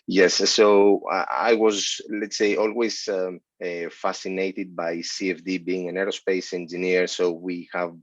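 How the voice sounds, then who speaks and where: A man in his forties, originally from Greece, answering interview questions over a video call in a measured way, with many fillers. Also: deep voice, flat delivery, dry recording. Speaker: guest, senior lecturer. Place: office, indoors. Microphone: headset on a video call. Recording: dull, dry video call audio.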